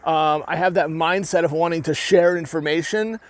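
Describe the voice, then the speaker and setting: A man's voice: on the high side, steady tone, moderate pace. A young adult man with a New Hampshire accent, an armored combat fighter giving a casual, earnest rant to his video audience with many restarts. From New England. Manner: rambling and earnest, with frequent restarts and "right?" tags. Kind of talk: casual talking-to-camera rant.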